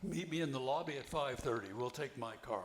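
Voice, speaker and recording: An older man reading the part of an earnest, helpful widower in a play, with a halting delivery. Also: mid-range voice, a little hiss or hum, natural voice. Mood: friendly. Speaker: amateur actor reading a play. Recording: echoey, roomy amateur recording.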